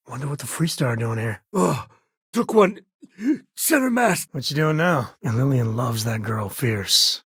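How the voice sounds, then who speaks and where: A husky, dry-recorded voice for an easygoing space cowboy lawman in a video game. He talks in a folksy, teasing way with dry wit. Underneath it he comes across as protective and loyal. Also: mid-range voice, dry recording. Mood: calm, earnest, then urgent, combat. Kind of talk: video game character voice lines.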